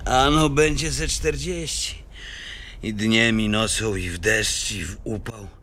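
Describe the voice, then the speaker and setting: An actor playing a weary old wanderer in a radio drama, sighing and folksy in manner. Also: male voice, fairly low pitch, slow, fairly bright tone, slight background noise.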